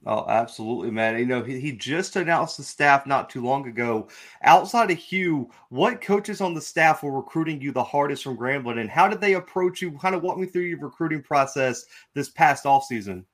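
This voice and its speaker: An American man with a mid-pitched voice hosts a sports interview. He phrases his questions casually and informally, in a buddy-to-buddy way. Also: expressive, moderate pace, a bit bright. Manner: chummy, informal.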